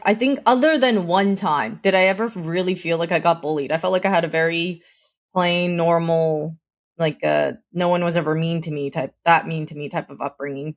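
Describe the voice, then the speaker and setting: A woman in her thirties with a somewhat low voice, chatting easily on a casual podcast. She reminisces in long, rambling stretches. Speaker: podcast co-host. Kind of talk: casual chat.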